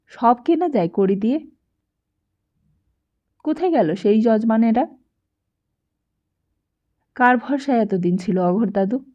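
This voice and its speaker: Female audiobook narrator, unhurried and expressive literary read, slightly muffled lo-fi sound. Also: fairly high pitch. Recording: dull, lo-fi sound.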